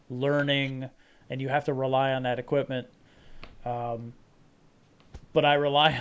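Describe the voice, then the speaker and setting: A middle-aged man hosting a casual chat, relaxed and conversational. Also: mid-range voice. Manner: relaxed, conversational, short affirmations. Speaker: host. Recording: dull lo-fi audio.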